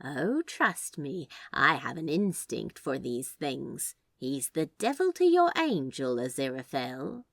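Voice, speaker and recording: Woman putting on a sly knowing lady, slow teasing delivery, lilting, storybook character. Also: very deep voice, big pitch swings, gravelly, fairly bright tone, no room sound, studio quality, heavily acted.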